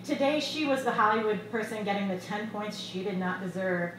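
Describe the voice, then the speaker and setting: A middle-aged woman reading her own short fiction aloud at a live event, in a measured, expressive storytelling delivery. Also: medium pitch. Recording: echoey bar room with loud background noise.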